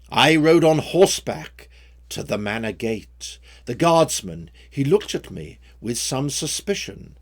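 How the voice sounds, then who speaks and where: Male poet for story-poem reading: theatrical, unhurried delivery with big melodic swings in pitch. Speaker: poet reading own poems. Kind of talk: expressive recitation of rhyming story poems.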